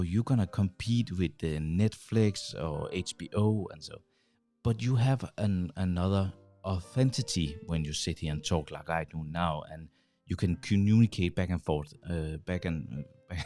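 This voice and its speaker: A man in his forties with a Danish accent, hosting a channel and talking casually to the camera from a small home studio, halting, with many restarts and fillers. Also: sits low, mellow, faint music behind. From Denmark. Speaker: host. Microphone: large studio mic on boom arm, headphones.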